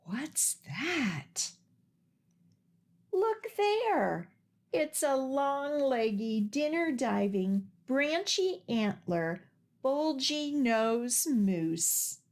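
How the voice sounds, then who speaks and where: A woman hosting a children's storytime as a librarian, with an expressive, sing-song and deliberate delivery for young kids. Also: a little high. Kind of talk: children's storytime, picture book read-aloud and action rhymes.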